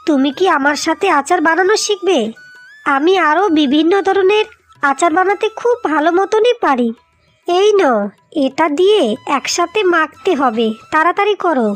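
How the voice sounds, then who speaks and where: A woman voicing an earnest, practical wife in scripted cartoon dialogue, speaking deliberately. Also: very high voice, lively intonation, faint music behind. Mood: resolved. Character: determined, practical young Bengali wives plotting to save home.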